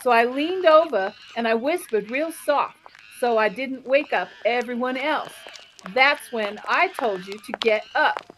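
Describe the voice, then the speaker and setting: A woman acts out a nagging, naive female tourist for a forties radio comedy. Her chatty voice is high, over faint noise. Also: lively intonation, a little slow, fairly bright tone, low-quality recording. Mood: scolding. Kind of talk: 1940s radio comedy acting.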